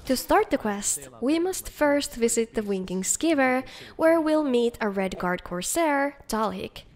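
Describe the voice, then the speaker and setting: A woman's voice: fairly high pitch, animated, a little slow. A woman hosting a video, reading scripted showcase narration. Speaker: video host. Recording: faint music under the voice.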